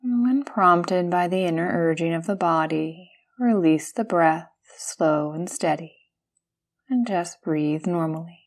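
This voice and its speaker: A woman guiding a yoga nidra meditation, speaking gently and soothingly in calm, unhurried instructions with pauses between phrases. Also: on the low side. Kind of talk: guided meditation.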